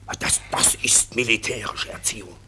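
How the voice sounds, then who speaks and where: An actor playing a self-important, sly police sergeant in a German radio play, officious and wheedling, with a husky, gravelly voice. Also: male voice, fairly low pitch, normal speed, bright, forceful tone, in a whisper. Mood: smug, muttering.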